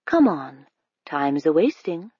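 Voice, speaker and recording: A woman's voice: sits low, very animated, slow, warm tone, husky, no room sound, highs cut off, full character voice. A woman reading the part of a grown male stranger in a storybook, talking in a coaxing, persuasive way.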